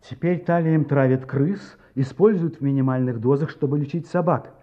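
Actor playing earnest guy sleuth in a radio play, slightly high husky voice, explaining stuff, bit muffled audio. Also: normal speed, lightly acted. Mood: earnest, reasoning. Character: earnest amateur sleuth, worried man reasoning out a crime. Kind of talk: audio play dialogue and narration.

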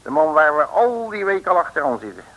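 An actor playing a cocky, slangy streetwise detective in an early 1960s comic radio serial, blustering and emphatic. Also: male voice, fairly high pitch, very animated, fairly quick, narrow, tinny audio. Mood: emphatic, boastful. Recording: old radio broadcast recording.

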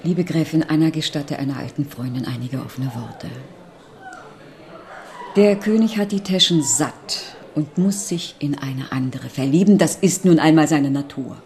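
Low-voiced scheming countess played by a woman. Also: moderate pace, darkish tone, lightly acted. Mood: confiding, persuasive. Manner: confiding, insinuating. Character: scheming society countess, worldly, confiding, calculating. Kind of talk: German radio drama acting.

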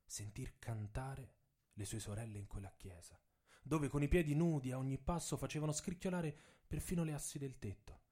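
A man narrates a piece of radio theatre. His voice is dark and mellow, sitting in a middle register, and he delivers literary prose in a solemn, measured manner. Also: mid-range voice. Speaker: writer and narrator.

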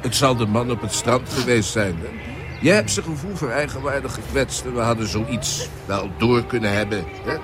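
Man acting dismissive middle-aged father, radio drama, mid-pitched, lively intonation, mocking, placating, music behind. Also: moderate pace, natural voice. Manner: mocking, breezy, belittling. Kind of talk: Dutch radio play acting.

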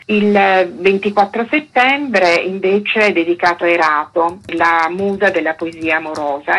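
A woman's voice: low voice, a bit bright, husky, poor audio. A woman interviewed on radio as a director, giving orderly, didactic explanations. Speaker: archaeological park director, interview guest.

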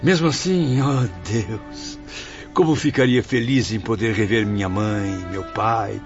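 An actor playing a courteous, bewildered viscount among the dead in a Brazilian radio drama, theatrical and plaintive. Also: male voice, fairly low pitch, unhurried. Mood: yearning, anguished. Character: emotional, courteous, bewildered male viscount, newly dead spirit. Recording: dull lo-fi radio drama audio with music.

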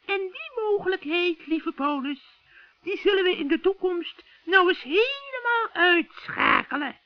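A man voicing a scheming old witch in a children's radio play, shrill and cackling, with sugary, wheedling friendliness. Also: animated, no room sound, heavily acted. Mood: sly, coaxing. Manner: wheedling, drawn-out coaxing. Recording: dull, dry vintage radio recording.

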